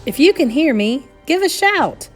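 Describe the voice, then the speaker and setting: Woman as young boy-mouse, storybook hero, earnest, matter-of-fact, reassuring, raised pitch, music behind. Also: big pitch swings, moderate pace. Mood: calling out.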